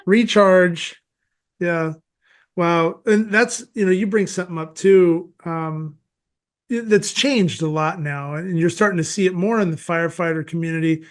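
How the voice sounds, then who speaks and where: A man with an American accent hosting a casual interview, speaking thoughtfully and haltingly. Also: sits high. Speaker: podcast host.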